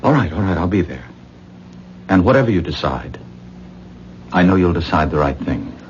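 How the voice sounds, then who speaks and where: A man's voice: low-pitched, lively intonation, moderate pace. An actor playing a shaken, lovestruck man in a 1970s radio drama, American accent, hesitant and insistently repeating himself. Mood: tender, pleading. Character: shaken, earnest, lovestruck man pleading with his lover. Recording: muffled, noisy 1970s radio recording.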